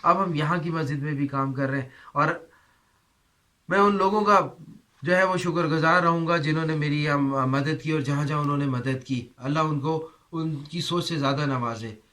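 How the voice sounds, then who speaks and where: A man speaking as a vlogger to his viewers in an informal, devout and somewhat halting way. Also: a little high, bright, forceful tone. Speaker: vlogger, channel host. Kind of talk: informal vlog talk, thanking and appealing to viewers.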